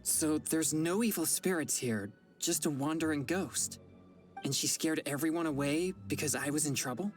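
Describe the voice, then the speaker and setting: A woman plays an earnest young exorcist boy from an anime game, with a low, boyish pitch. He speaks formally and righteously, in measured phrases, over game music. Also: natural voice. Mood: calm, stern.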